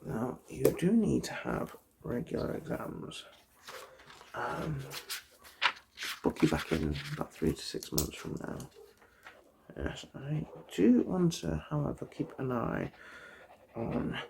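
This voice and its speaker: A soft, breathy ASMR performer playing an optician, murmuring in a hesitant, reassuring way and often drifting into a whisper. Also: mid-range voice, very animated, slow pace, long pauses, background noise.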